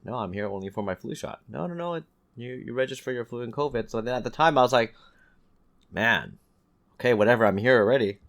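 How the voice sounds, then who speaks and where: A man telling stories in casual, animated podcast banter as a host, with some swearing. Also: medium pitch, fast talker. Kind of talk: casual chat, storytelling.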